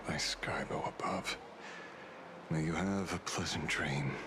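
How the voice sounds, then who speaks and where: A man playing a weary older detective bent on revenge in a video game, speaking gravely and deliberately. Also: a bass voice, background noise. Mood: solemn. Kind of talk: dramatic video game voice acting. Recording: music behind the voice.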